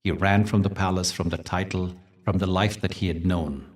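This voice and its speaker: A man with a deep, low voice leads a guided meditation. He speaks gently and deliberately, pausing for effect as he tells stories and soothes the listener. His voice sits a little distant from the microphone. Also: fairly even intonation. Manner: gentle, deliberate, soothing delivery with dramatic pauses. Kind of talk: guided meditation talk with storytelling.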